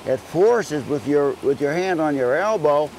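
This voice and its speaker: A man's voice: on the high side, animated, unhurried, darkish tone, poor audio. Older guy fly fishing guide talking casting out in a stream, folksy, keeps repeating himself, faint water noise behind. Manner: folksy, repeats words while explaining. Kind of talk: outdoor fishing instruction. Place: wading in a wooded trout stream outdoors. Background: faint stream and outdoor ambience.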